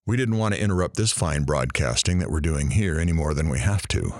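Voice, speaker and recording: A man playing a wry morning radio host, delivering comic patter in a deadpan, mock-sincere way. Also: a bass voice, fairly quick, no room sound, studio quality.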